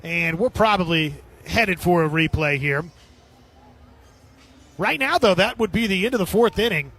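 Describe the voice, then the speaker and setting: A male baseball announcer with a notably high voice delivers live radio play-by-play. He describes the game in clipped, descriptive phrases, as a sports commentator does on air. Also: lively intonation, moderate pace, pushed and bright, breathy edge.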